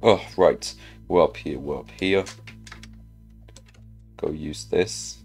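Low voiced guy doing slow chill gameplay commentary, kinda talking to himself, bit of music behind. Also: darkish tone. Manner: muttering to himself, asking himself questions. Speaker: gaming video host.

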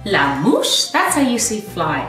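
A woman in her thirties reading a storybook aloud to young children as a teacher, with expressive emphasis and explanations. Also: mid-range voice, measured pace, far from the mic, soft background music. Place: small living room at home, indoors. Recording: roomy echo, away from the mic.

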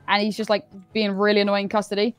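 A woman's voice: mid-range voice, monotone, fast talker, smooth, no room sound. A woman chatting casually as a player in a police roleplay game. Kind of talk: casual in-game radio chat.

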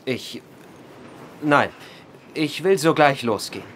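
An actor playing a reluctant, cheeky young farm son in a radio drama, sardonic and protesting. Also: male voice, medium pitch, animated, takes it slowly. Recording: noisy ambient background.

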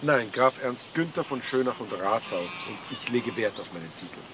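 An actor playing a self-important count and smooth-talking investment adviser in a crime drama, pompous in manner. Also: male voice, mid-range voice, lively intonation, moderate pace, background noise, close to an everyday voice. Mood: pompous, aloof.

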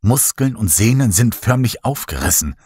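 Breathy man reading horror. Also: lowish voice, moderate pace, no room sound, studio quality. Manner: dramatic first-person storytelling, lively voiced dialogue. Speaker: narrator.

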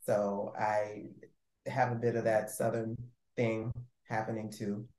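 A man who grew up in New York and Maryland, a musician guest speaking in a measured, thoughtful way. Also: lowish voice, flat delivery, darkish tone. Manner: thoughtful, measured, trailing off at times. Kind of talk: casual interview. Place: indoor room with patterned wall. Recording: roomy echo.

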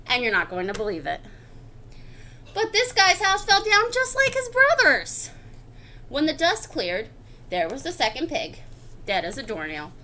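A woman's voice: high-pitched, wildly swooping pitch, unhurried, pauses often, pushed and bright, exaggerated voice. A woman voicing an indignant, self-justifying wolf telling his side of a picture-book story in a chatty, confiding, theatrical way. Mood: confiding, smug. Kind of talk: expressive picture-book read-aloud.

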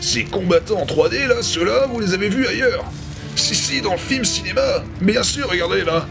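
A man's voice: sits high, animated, normal speed, creaky. A man delivering sarcastic, crude, scripted comic narration as host of a retro video review. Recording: music behind the voice.

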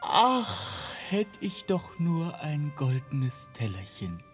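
A man playing a spoiled, sighing young fairy-tale prince in a children's radio play, with a husky voice and theatrical delivery. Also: a little high, sing-song, takes it slowly, soft background music. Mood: wistful, sighing. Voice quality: husky, breathy.

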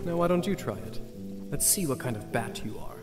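An actor playing a suave, teasing aristocratic vampire officer in a video game, smooth and condescending. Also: male voice, mid-range voice, big pitch swings, brisk, natural voice. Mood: playful, instructive. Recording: game music under the voice.

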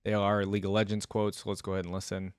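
A man's voice: lowish voice, completely flat delivery, rapid-fire, clean tone, dry recording. Young man, dry close-miked commentary. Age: young adult. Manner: rambling, informal. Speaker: reaction video host. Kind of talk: casual reaction commentary. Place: indoor room at a desk. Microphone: close desk microphone, headset worn.

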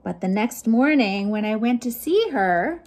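High-voiced woman reading slowly to children. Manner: expressive, addressing young children with questions and asides. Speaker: youth librarian hosting storytime. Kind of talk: children's picture book read-aloud.

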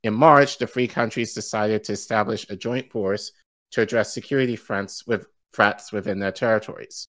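A man's voice: on the low side, fairly even intonation, normal speed, a bit bright. A male co-host reading news articles aloud on a live stream, stumbling over foreign names and laughing easily. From Igbo-speaking background. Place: home studio, indoors.

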